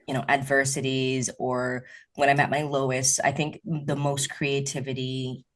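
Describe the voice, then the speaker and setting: A woman's voice: extremely low pitch, level tone, measured pace. A woman hosting an interview podcast, asking questions in a rambling, chatty way with many fillers. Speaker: podcast host. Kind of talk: casual interview chat.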